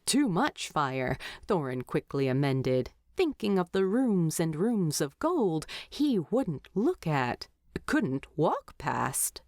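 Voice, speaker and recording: A woman's voice: sits low, big pitch swings, slow pace, a little mellow, no room sound. A woman narrating a written story expressively into a close microphone.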